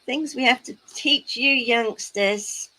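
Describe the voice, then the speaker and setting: A woman hosts a relaxed crafting livestream, answering her viewers with playful, teasing remarks. Her voice sits a little high, and she talks in a slow, easy way. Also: rough recording. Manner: playful, teasing asides to chat. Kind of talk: casual chat while crafting, reading out chat.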